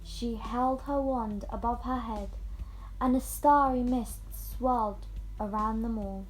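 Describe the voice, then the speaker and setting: A female reader narrating a fairy tale aloud in an expressive, scripted storytelling style. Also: a little high, slow, rough recording.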